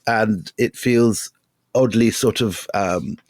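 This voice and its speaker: A man co-hosting a history podcast, speaking haltingly with frequent restarts and fillers. His voice sits low for a man. The recording is close and dry. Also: darkish tone, no room sound. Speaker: podcast co-host. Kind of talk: casual history podcast chat.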